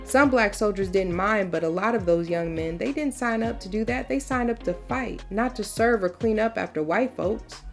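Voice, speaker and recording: A woman's voice: medium pitch, moderate pace, creaky, few pauses, faint music behind, lightly acted. A woman reading a storybook as a proud, folksy mother who recounts wartime history in an emphatic, conversational first person. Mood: reflective, earnest. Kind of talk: storybook read-aloud, first-person narration.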